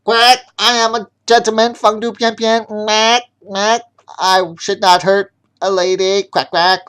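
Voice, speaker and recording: A storyteller voicing a proud male duck who calls himself a gentleman, quacking between his words and declaiming. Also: mid-range voice, little pitch movement, unhurried, clean tone, pitched above the performer's own voice, close to an everyday voice. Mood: indignant.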